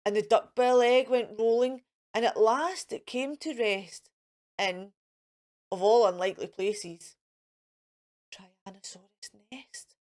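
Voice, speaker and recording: Children's storytime host, woman, fairly high voice, very lively sing-song read-aloud. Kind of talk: animated picture-book reading for children.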